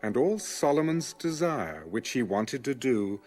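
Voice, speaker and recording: A man narrating scripture for an audio Bible in a measured, formal, scripted style. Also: medium pitch, big pitch swings, darkish tone. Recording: music under the voice. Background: music.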